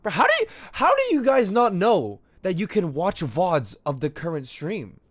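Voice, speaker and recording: Animated, slangy male game streamer. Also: high-pitched, moderate pace, dry recording. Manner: slangy, repeats himself for emphasis. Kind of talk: casual live stream commentary. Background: game music.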